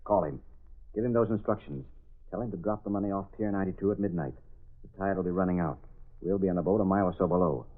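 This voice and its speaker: An actor playing a cold, greedy extortion boss in old radio drama, curt, dismissive and commanding. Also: male voice, deep voice, fast, phone-quality sound. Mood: cold, impatient. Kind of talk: 1930s-40s radio drama acting. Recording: thin band-limited old radio recording.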